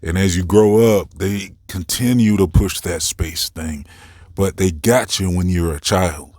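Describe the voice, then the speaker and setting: Deep-voiced man giving informal commentary. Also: unhurried, a little mellow, no echo, studio quality. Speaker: host of a commentary series. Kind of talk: informal opinion commentary, unscripted.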